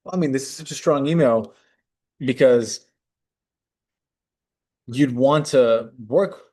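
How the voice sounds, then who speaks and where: A man's voice: medium pitch, slow. A man speaking over a video call in a casual, easygoing way. He pads his thoughts with fillers and works ideas out aloud. He gives frank verdicts. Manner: relaxed, filler-heavy, thinks aloud. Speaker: judge reviewing cold emails.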